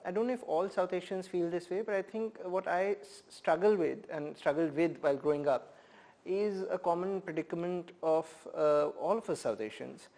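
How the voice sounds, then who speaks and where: A man from India, a poet and professor, speaking as an interview guest in a thoughtful, measured way, often pausing with "uh" as he gathers his thoughts. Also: fairly high pitch, darkish tone. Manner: thoughtful, measured, many "uh" pauses. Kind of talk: reflective conversational interview.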